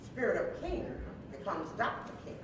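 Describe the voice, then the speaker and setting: A woman's voice: sing-song, moderate pace. A woman reading out stage directions as scripted narration at a live staged reading. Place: live stage before an audience. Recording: echoey hall, distant mic, noisy rumble.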